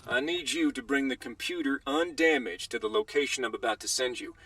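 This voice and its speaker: A man acts as an endangered man on a communicator in a video game. His voice is tense and forceful, at a medium pitch and slightly far off. His delivery is controlled and formal. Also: fairly quick, dry recording, natural voice. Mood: strained, urgent. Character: endangered man giving terse, urgent instructions. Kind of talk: video game cutscene acting. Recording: distant-sounding communicator call.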